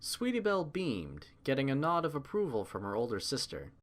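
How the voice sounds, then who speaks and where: A man's voice: medium pitch, wildly swooping pitch, fairly quick. A man reading a scripted story as its narrator. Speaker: narrator of a fan fiction reading.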